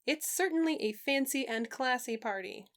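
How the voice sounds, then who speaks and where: A woman's voice: sits high, animated, moderate pace. A woman reading fan fiction aloud as a podfic voice actor, narrating and acting out dialogue.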